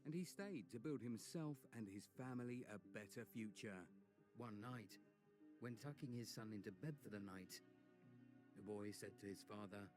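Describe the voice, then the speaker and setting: A man narrating a film trailer in a slow, weighty, epic delivery. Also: fairly low pitch, music in the background, poor audio. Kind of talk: dramatic movie trailer narration.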